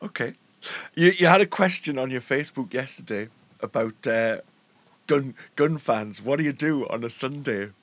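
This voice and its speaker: A man chatting casually as an interviewer, agreeable and quick to affirm what he hears. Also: mid-range voice, fairly bright tone, no echo. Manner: agreeable, frequent short affirmations. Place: indoors in a studio.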